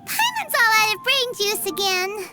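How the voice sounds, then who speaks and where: A woman voicing a tiny, excitable, childlike fairy companion in an anime-style video game, squeaky and full of exclamation. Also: normal speed, full character voice. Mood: exasperated. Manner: squeaky, exclaiming, speaks of self in third person. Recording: music playing behind the voice. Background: prominent game music.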